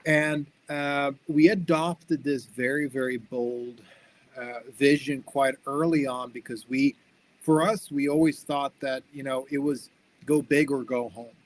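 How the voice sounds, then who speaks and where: A man's voice: medium pitch, fairly even intonation, measured pace, rough recording. A young man who grew up in America gives a friendly, upbeat seminar talk over a video call, often restarting and repeating words. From born in Sweden, raised in the United States. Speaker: guest speaker, company founder. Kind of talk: online seminar talk with slides.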